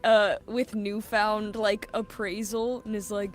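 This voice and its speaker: A woman's voice: medium pitch, little pitch movement, slow, soft background music. A woman chatting and joking casually as a player in a tabletop game. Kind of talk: casual banter.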